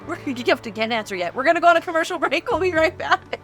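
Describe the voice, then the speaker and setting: A middle-aged woman hosting a casual interview in a playful, conversational way, quick to react. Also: high voice, lively intonation, a bit bright, soft background music. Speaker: show host.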